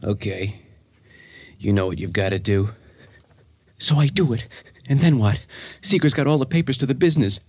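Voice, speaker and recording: A man's voice: mid-range voice, lively intonation, normal speed, long pauses, no echo, close to an everyday voice. An actor playing a disturbed, scheming son in a radio drama, stammering and shifting tone as he plots murder with nervous glee. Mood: sly, conspiring. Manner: stammering, talking to himself in shifting tones. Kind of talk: old-time radio drama acting.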